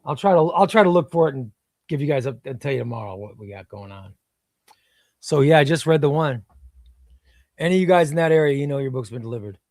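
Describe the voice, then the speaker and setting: A man's voice: mid-range voice, lively intonation, fast talker, a little mellow, no echo, clean studio sound. A man from Illinois whose first language was Spanish, hosting a casual livestream and reading viewer comments in a rambling, offhand way with some swearing. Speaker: comic book seller and livestream host.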